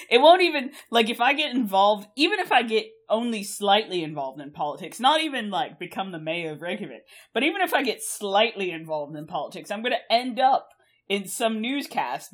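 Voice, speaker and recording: A woman's voice: medium pitch, animated, normal speed. A young woman co-hosting a podcast, chatting casually in a rambling way, laughing often and swearing freely. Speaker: podcast co-host.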